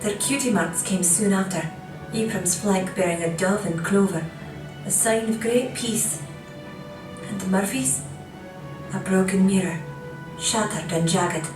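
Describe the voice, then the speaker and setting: A woman performs a solemn teller of legends in an audio drama. The voice is mid-pitched, distant and echoey, with music behind it. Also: measured pace, long pauses, natural voice. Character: solemn female storyteller of a royal legend. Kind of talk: scripted storytelling in audio drama.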